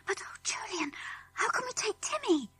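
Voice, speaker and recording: A woman puts on a storybook tomboy girl, daring yet anxious. The delivery is urgent and breathy, high in pitch and full of movement. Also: very animated, normal speed, pushed and bright, exaggerated voice. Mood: anxious, hushed.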